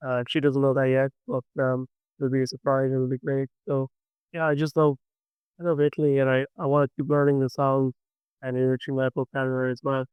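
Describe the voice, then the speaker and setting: A man's voice: medium pitch, level tone, brisk, clear, resonant tone, no room sound, phone-quality sound. An Irish man, a young adult folk singer, chatting enthusiastically in an interview and telling stories. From Ireland. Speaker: folk singer-songwriter guest. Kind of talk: casual interview chat.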